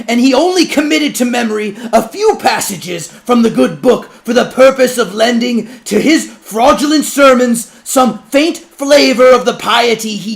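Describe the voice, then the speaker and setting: A man playing an imposing orator in an audiobook, loudly denouncing someone in formal, declamatory, mocking tones with a strained, projected voice. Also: very high-pitched, vocal fry, pitched above the performer's own voice, exaggerated voice. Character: imposing orator denouncing a preacher, loud, righteous, coldly mocking.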